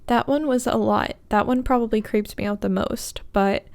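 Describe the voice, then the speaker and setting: A woman with a congested, stuffy nose tells spooky tales in a calm, measured way. Her voice has a gravelly, creaky edge, and soft guitar music plays faintly behind her. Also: a little high. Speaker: gaming channel host. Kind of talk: reading horror stories aloud while gaming.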